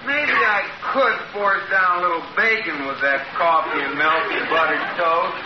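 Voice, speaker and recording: Whiny, grumbling sitcom husband, slightly high voice, noisy old radio recording. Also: male voice, unhurried, lo-fi sound. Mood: hopeful, hungry. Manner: groaning, self-pitying, grumbling. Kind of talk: 1940s-50s radio comedy acting.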